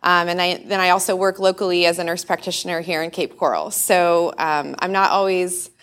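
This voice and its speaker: A woman speaking to a church congregation on Mother's Day, a little nervous, laughing now and then, holding a handheld mic away from her mouth because of her loud voice. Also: on the low side, fairly even intonation, a little fast, clean tone. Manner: warm, nervous, laughs often, says she has a loud voice. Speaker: pastor's wife giving a Mother's Day message. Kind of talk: church talk with a read-aloud story. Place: church sanctuary in front of a congregation. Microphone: handheld mic held away from the mouth.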